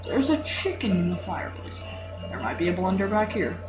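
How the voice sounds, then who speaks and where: A man's voice: sits high, very animated, fairly quick. A teenage boy chatting casually as he commentates on a game he is playing. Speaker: gamer recording a playthrough. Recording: echoey room with loud background music.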